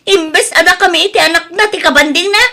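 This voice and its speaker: A woman acts out a young wife in an audio drama who has had enough of her husband. Her voice is high and bright. She speaks emphatically, with a plaintive edge. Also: fairly quick, full character voice. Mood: aggrieved, fed up. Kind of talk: radio-style melodrama acting.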